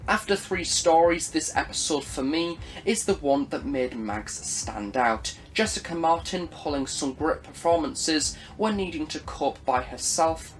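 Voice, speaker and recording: A man delivering a scripted, formal audio drama review. He reads aloud and lifts his voice at the start of each new point. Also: fairly bright tone. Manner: formal, read-aloud delivery with emphatic pitch lifts on phrase openings.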